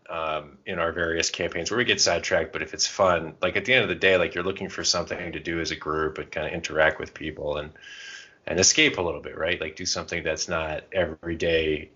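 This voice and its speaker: Muffled, lo-fi audio carries a very low-pitched man's voice. He talks casually as a podcast co-host, explaining things through examples in a loose, conversational way. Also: pushed and bright. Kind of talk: casual conversational advice and anecdotes. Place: podcast recording. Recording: dull, muffled lo-fi sound.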